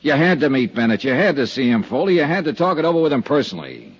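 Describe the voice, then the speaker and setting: An American actor playing a hard-nosed, skeptical insurance investigator, questioning bluntly in the style of 1950s radio detective drama. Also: male voice, medium pitch, big pitch swings, fast talker, breathy edge. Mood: skeptical, insistent. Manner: blunt, pressing interrogator. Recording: dull old 1950s radio recording.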